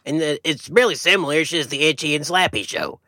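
A man voicing a touchy, silly possum who co-hosts a comedy podcast, with a gravelly muppet-like voice and folksy, indignant manner. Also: on the high side, expressive, fast, pushed and bright, no echo. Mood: silly, cheerful. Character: touchy, silly male possum podcast sidekick, a wild animal. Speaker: podcast co-host. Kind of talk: comic banter.

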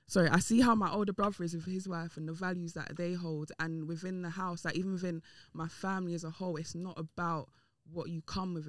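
A woman's voice: on the low side, no echo. Candid woman chatting on a podcast. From Dominican and Nigerian heritage. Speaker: podcast guest. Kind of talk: casual podcast discussion.

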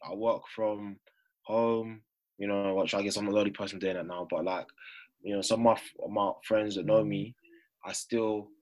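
A man's voice: on the low side, flat delivery, moderate pace, a little mellow, poor audio. A young man, talking casually as an interview guest and dotting his phrases with "yeah". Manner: informal, punctuates phrases with "yeah" and "you know". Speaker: interview guest, business owner.

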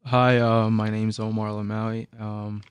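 A man in his twenties from Egypt, a student guest, introduces himself hesitantly with many ums. His low voice is smooth and steady, and the sound is close. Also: normal speed, no echo. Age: 20s.

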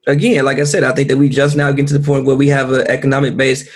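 A man, a podcast co-host, arguing calmly and steadily in a casual debate and pressing to be heard. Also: mid-range voice. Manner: calm, measured, keeps insisting on being heard.

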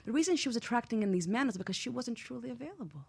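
A woman with a husky voice speaking as a guest expert on a radio show, explaining things in measured, structured examples with anecdotes. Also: a little high, animated, darkish tone. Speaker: guest expert, feng shui practitioner.

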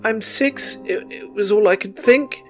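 A man's voice: high voice, measured pace, faint music behind, voice pushed up. A man voicing a frightened six-year-old boy who stammers. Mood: scared. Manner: stammering, timid.

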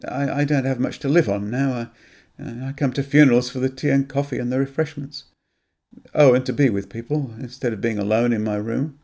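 A man's voice: mid-range voice, fairly quick, few pauses, voice pushed up, close to an everyday voice. A man voicing a frightened, ashamed older man who stammers and halts as he pleads. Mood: ashamed pleading.

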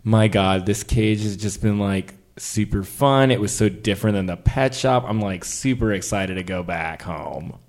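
A man's voice: on the low side, normal speed, hardly any pauses, a little mellow, close to an everyday voice. A man playing a cheerful, laid-back caged pet animal with casual, youthful slang in improvised radio theater. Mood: contented, laid-back.

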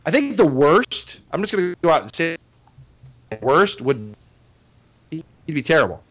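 A man co-hosting a podcast in casual banter, talking loudly with halting restarts and frequent laughs. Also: on the high side, sing-song, dry recording, rough recording. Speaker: podcast co-host.

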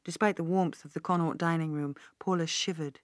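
A woman reading a novel as an audiobook narrator in a measured, articulate way. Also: sits low, steady tone, no room sound, clean studio sound. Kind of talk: scripted fiction narration.

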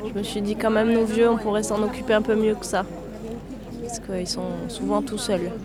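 A woman answering a street interview in a soft, saddened way. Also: mid-range voice, little pitch movement, normal speed. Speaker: passerby interviewed. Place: outdoor market. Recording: busy outdoor crowd behind.

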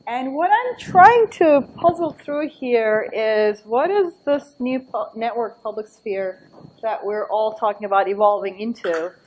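Middle-aged woman, slightly high voice, animated academic speaker who thinks out loud. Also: a little slow, low-quality recording. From Turkey. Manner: animated, laughing asides, thinking aloud. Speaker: invited book talk speaker. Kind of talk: academic talk with anecdotes.

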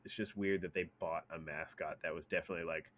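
A man's voice: deep voice, steady tone, fast, fairly bright tone, a little hiss or hum. A man co-hosting a gaming news show, chatting informally and acting out mock quotes as he comments. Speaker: gaming news podcast co-host.

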